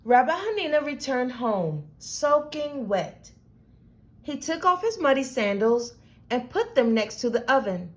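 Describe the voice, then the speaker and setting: A woman reading a picture book aloud to children as host, with deliberate, dramatic phrasing. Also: high-pitched, sing-song.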